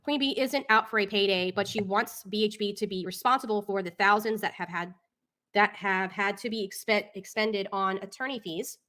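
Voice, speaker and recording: A woman's voice: mid-range voice, normal speed, bright, forceful tone. A woman hosting a casual live talk show, reading documents and commenting in an exasperated, opinionated way.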